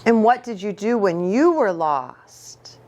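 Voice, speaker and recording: A woman reading a storybook aloud to children in an expressive way, as a pastor who engages her listeners. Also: medium pitch, slow. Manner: expressive, asks the listeners questions.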